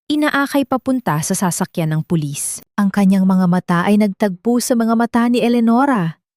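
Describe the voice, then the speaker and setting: A woman's voice: fairly low pitch, a little mellow, no echo, clean studio sound. A woman narrator reading a scripted, dramatic love story.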